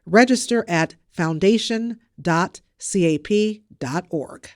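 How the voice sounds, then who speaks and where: Podcast news presenter, medium-pitched, scripted delivery, very dry close recording. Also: takes it slowly, a little mellow, dry recording.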